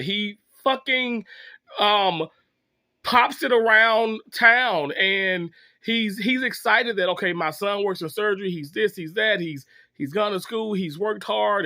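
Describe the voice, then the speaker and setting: A man in his thirties with a high, bright voice, fighting a cough, rambling through a casual story for his viewers. He sounds exasperated and restarts his sentences constantly. The sound is dry and close. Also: no echo. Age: 30s. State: sick with a cough. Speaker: vlogger telling a story. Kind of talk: casual story time monologue.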